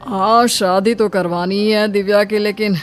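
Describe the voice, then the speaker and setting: A woman's voice: medium pitch, steady tone, a little slow, soft background music, close to an everyday voice. A woman voicing a mild, fond father who placates others in a moral story. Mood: earnest. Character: mild ailing father of a talkative daughter. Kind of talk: acted moral story dialogue.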